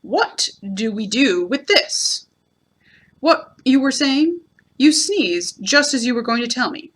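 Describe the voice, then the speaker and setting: A woman voicing a humble, dreamy male bear in a storybook reading, simple and gently earnest. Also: high voice, sing-song, slow pace, full character voice. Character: humble, dreamy, slow-witted male bear who loves honey.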